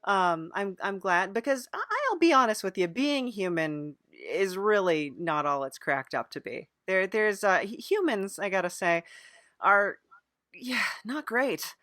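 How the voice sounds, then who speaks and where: A woman hosting an improvised comedy interview podcast, talking in a hesitant, deadpan way. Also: mid-range voice, a little slow, no room sound, studio quality. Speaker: podcast host.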